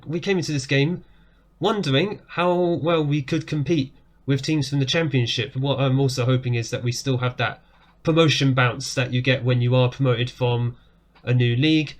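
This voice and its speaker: Excitable man commentating a game, voice strained. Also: mid-range voice. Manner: excitable, jumps up when goals go in. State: voice going hoarse. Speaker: game streamer. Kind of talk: casual gameplay commentary. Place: small room indoors. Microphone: webcam framing at desk.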